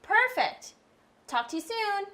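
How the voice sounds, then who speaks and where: A woman with a high-pitched voice speaks as a therapist coaching step by step. She keeps things simple and encouraging, often checking that she has been understood. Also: big pitch swings, slow, pushed and bright. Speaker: behaviour therapist teaching a child.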